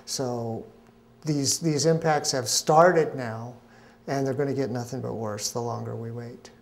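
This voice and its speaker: A middle-aged man, a scientist being interviewed at a conference pavilion, explaining in a measured way with frequent hesitations. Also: medium pitch, fairly even intonation, creaky. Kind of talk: interview answers.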